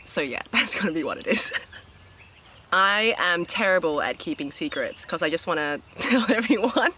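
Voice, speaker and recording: A woman's voice: on the low side, expressive, normal speed, sharp tone, a little hiss or hum. A woman, chatting casually as a reality show contestant, upbeat with lots of fillers and restarts. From Australia. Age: 20s. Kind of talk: casual interview answers.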